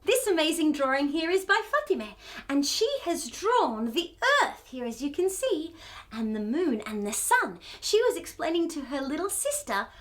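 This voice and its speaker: A woman's voice: high-pitched, sing-song, normal speed. A woman presenting a children's storytime show in an enthusiastic, exclamatory and encouraging way.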